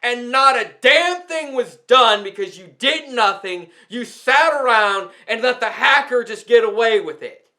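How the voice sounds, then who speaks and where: A man's voice: very high-pitched, animated, a little slow, sharp tone. A man who makes ASMR videos, delivering an indignant, emphatic rant that scolds and repeats phrases for effect. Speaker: ASMR content creator. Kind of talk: indignant rant addressed to the platform.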